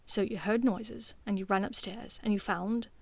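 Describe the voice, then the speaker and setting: A woman voicing a gentle young wizard in an audiobook, speaking softly and carefully to soothe someone. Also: mid-range voice, fairly even intonation, moderate pace, gravelly, breathy edge, no room sound. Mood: tender, reassuring. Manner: soft, careful, tender. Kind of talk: audiobook character voice.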